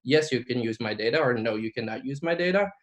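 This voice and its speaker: A man's voice: medium pitch, expressive, fast. Belgian man giving a casual tech talk. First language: Dutch. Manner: frequent ums and likes, casual. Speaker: conference speaker. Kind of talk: conference talk.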